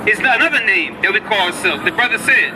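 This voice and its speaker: A man street preaching, explaining scripture to a passerby in a measured, lecturing way on a city corner. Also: high-pitched, animated, over music, low-quality recording. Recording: outdoor street with crowd and traffic noise.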